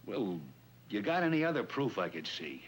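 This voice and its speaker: Folksy shopkeeper with swooping intonation. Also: male voice, lowish voice, sing-song, normal speed. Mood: suspicious. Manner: hesitant, plain-spoken, folksy. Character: wary, folksy male shopkeeper, robbery victim. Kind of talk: 1950s police drama acting. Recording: noisy old 1950s film soundtrack.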